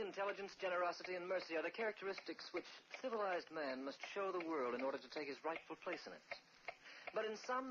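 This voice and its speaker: A man's voice: fairly high pitch, dull audio. Grave old radio narrator man. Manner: grave, ominous. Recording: hissy old radio recording.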